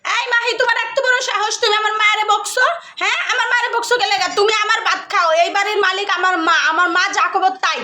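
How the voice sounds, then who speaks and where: Woman as a scheming mama's-boy husband, quick talking, pleading. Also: very high-pitched, roomy sound. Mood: fake-angry. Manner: hushed pleading asides, then loud fake scolding. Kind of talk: comedy skit acting.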